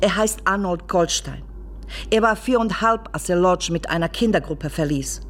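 An actress playing an earnest woman reading out a scripted radio appeal in a measured way. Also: lowish voice, natural voice.

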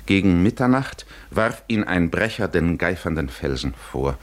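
A man narrating a literary 1950s radio drama in a grave, measured, deliberate storytelling manner. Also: low-pitched, lively intonation.

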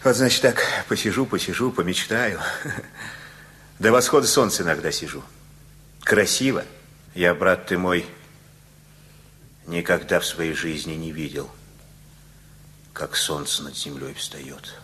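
An aging father in a radio play, once gruff and now softened. His voice is on the low side and he takes his time, very unhurried. He muses in homely, repetitive phrases with a wistful air. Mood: musing, weary. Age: older. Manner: folksy, rambling, reflective, repeats phrases.

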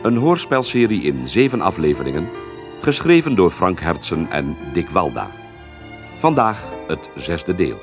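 A man's voice: low-pitched, very animated, measured pace. A man reading a scripted announcement for a radio series. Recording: music behind the announcement. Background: music.

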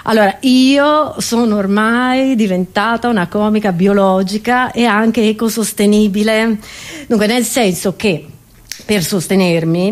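A woman's voice: mid-range voice, darkish tone. A woman comedian performing a satirical, conversational monologue in a theatrical way, often interrupting herself with rhetorical questions.

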